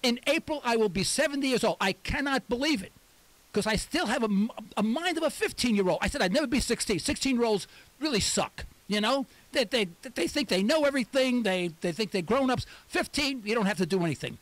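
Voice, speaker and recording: Lively, high-voiced man in his sixties. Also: fairly quick, no room sound. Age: 60s. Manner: emphatic, repeats words for stress. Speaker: radio talk show host. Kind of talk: talk radio monologue.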